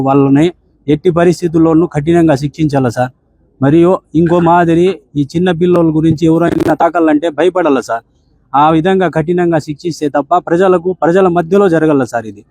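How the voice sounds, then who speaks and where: Male, deferential and earnest, relaxed tone, dry close sound, addressing an official by phone. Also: fairly high pitch, brisk, no room sound, poor audio. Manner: deferential, often saying sir. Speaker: bereaved father speaking to an official. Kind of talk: earnest account on a phone call.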